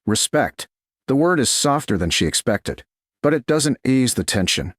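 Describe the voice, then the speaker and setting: A man reading scripted romance fiction as an audiobook narrator, in measured, dramatic short phrases. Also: medium pitch, sing-song, slightly rough, dry recording, clean studio sound.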